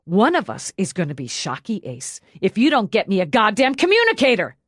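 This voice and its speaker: A woman's voice: mid-range voice, wildly swooping pitch, brisk, no echo, studio quality. A woman voicing a tough, foul-mouthed policewoman who is brash and blurting, in an audiobook drama. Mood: irritated, brash. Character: tough, foul-mouthed policewoman turned giddy and goofy by painkillers.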